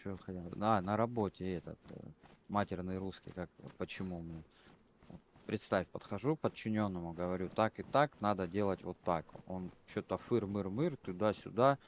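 Very low-voiced man, casual gamer chat, crude and rambling, light game noise. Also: normal speed. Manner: blunt, swears freely, rambling.

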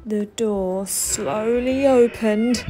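Slow, high-voiced woman reading bedtime stories. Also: low-quality recording. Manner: expressive, dramatic emphasis. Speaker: storyteller, channel host. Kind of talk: children's bedtime story read-aloud.

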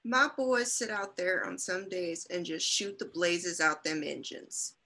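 A woman playing a hostile, self-righteous frontier mother in a radio western, sharp, indignant and folksy. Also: lowish voice, animated, a little slow, full character voice. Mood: boastful. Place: indoors at home, video call.

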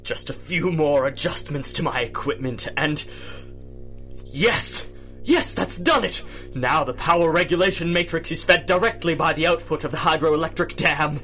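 An actor playing a gloating, theatrical megalomaniac supervillain in a 1930s-style radio drama. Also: male voice, on the high side, moderate pace, pushed and bright, faint music behind. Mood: triumphant.

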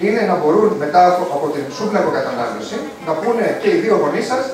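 Greek guy doing a science show, talks super fast, far from mic in echoey hall w crowd. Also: sits high, very animated. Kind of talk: popular science stage show for an audience. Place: large hall with a live audience. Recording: echoey hall, distant mic, audience noise.

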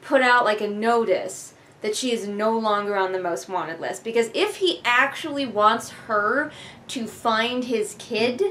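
Youngish woman ranting casually, kinda high voice, sarcastic, bit noisy room recording. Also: unhurried, a bit bright. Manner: sarcastic, rambling, swears casually. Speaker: book reviewer reading along. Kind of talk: casual critical commentary. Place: living room couch, indoors. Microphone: camera a few feet away. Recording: noisy living room camera audio.

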